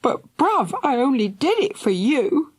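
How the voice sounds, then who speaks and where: Woman as a high-pitched, devoted storybook sister, pleading, breathy. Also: big pitch swings, normal speed. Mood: upset. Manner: pleading, sobbing. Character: cheerful devoted big sister, tearful and pleading.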